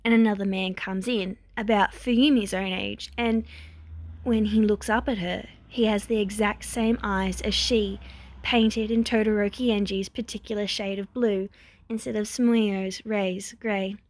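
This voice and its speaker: A woman reading a story aloud as narrator, in expressive scripted narration. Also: mid-range voice, unhurried, no room sound. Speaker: fan fiction narrator.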